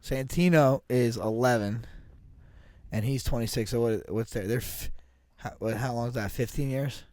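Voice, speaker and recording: A man's voice: medium pitch, moderate pace, a little mellow, no echo, clean studio sound. A man about to turn forty, a podcast co-host and fighter, chatting casually with lots of profanity and restarts. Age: 30s. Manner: profane, rambling, restarts often. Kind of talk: casual chat.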